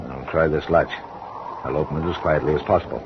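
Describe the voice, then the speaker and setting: An actor playing a brusque, commanding middle-aged ship's captain in a 1940s radio drama. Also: male voice, rumbling low, steady tone, quick pace. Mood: tense. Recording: dull noisy old radio recording.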